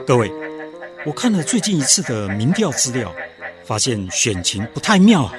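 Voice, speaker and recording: A man's voice: mid-range voice, very animated, normal speed, music in the background, close to an everyday voice. A man playing a male toad who is the incumbent park director, talking to underlings like a worried, scheming politician in a radio drama. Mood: concerned. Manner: official, addressing underlings like a politician.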